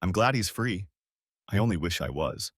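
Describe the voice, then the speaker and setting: A man's voice: low voice, animated, normal speed, fairly bright tone, breathy edge, no echo, clean studio sound, exaggerated voice. A man reading a story aloud, voicing a stern middle-aged mother who scolds her grown daughter bluntly. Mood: scolding.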